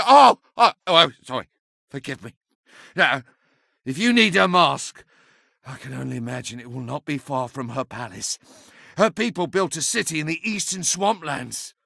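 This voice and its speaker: An ancient, chatty enchanted object from a fantasy video game, high and wildly swooping in pitch. The delivery is pompous, sardonic and theatrical, with a gravelly crackle around the edges. It sounds like a boastful, bawdy little adviser who loves to talk. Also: male voice, sharp tone, no echo, studio quality, full character voice. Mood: lustful reverie, then wry storytelling. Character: impish, talkative, bawdy ancient sentient staff, boastful adviser.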